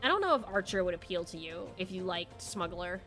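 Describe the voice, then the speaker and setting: A woman's voice: on the low side, animated, brisk, a bit bright. A congested young woman streaming a game, chatting casually and rambling, swearing now and then. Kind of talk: casual game livestream chat. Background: faint background music.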